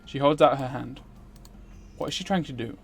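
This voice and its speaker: A male streamer reads visual novel narration aloud. His voice sits at a medium pitch, and he delivers the text as dramatic first-person storytelling. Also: moderate pace, creaky, soft background music.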